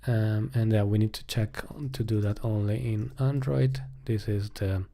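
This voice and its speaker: A man's voice: lowish voice, steady tone, normal speed, creaky, dark tone. A man giving casual live-coding commentary, thinking aloud in a hesitant way as he works.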